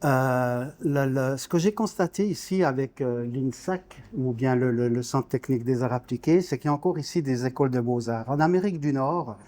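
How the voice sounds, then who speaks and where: A man's voice: mid-range voice, normal speed, few pauses, dark tone. A man in his sixties from Switzerland, an interview guest talking informally, searching for words and repeating small ones as he goes. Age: 60s. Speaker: guest, painter.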